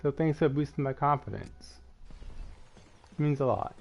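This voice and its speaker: A man's voice: medium pitch, moderate pace, creaky. Lisping young-sounding man streaming a game. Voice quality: slight lisp. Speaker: gaming streamer. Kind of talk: casual live gameplay commentary, chatting with viewers. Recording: dull lo-fi mic, music behind.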